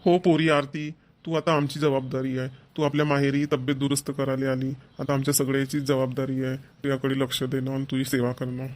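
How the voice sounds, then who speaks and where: A man playing a kindly older father-in-law in a family cartoon, gently advising, with a Vidarbha Marathi accent. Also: medium pitch, little pitch movement, a little fast, hardly any pauses, close to an everyday voice. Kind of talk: amateur family cartoon voice acting.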